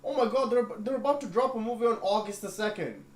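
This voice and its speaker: Rambling excitable man in an echoey room. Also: very high voice, fast talker. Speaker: livestream host. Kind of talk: casual livestream chat. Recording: echoey room with faint noise.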